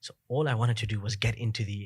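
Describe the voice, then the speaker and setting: A man in his thirties, a podcast guest, telling his story in a fast, reflective way with many fillers. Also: lowish voice, steady tone, slightly rough. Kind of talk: casual interview chat.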